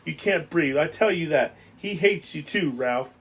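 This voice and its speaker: A man reading aloud and voicing an anxious, fretful schoolboy whose voice rises toward a squeak. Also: expressive, a little slow, fairly bright tone, breathy edge, full character voice. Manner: pleading, anxious, voice rising.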